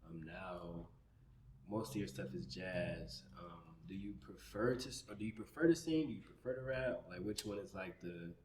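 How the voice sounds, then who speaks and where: A man with a deep voice hosting a podcast, asking rambling questions that restart midway. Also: normal speed, a crowd in the background. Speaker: podcast host. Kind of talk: casual interview questions. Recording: roomy echo.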